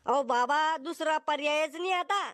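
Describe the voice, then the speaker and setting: A man's voice: very high voice, moderate pace, heavy background noise. A man voicing an exasperated, sarcastic middle-aged father in a comedy cartoon, with a scolding manner. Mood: bossy. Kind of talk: Marathi comedy cartoon acting.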